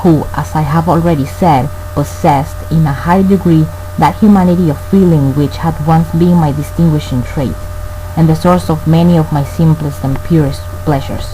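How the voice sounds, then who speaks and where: Grave mellow man, an actor confessing a gothic horror story, formal radio drama narration, faint hum behind. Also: on the high side, steady tone, normal speed, natural voice, poor audio. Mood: dread, confessional. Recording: faint background noise and hum.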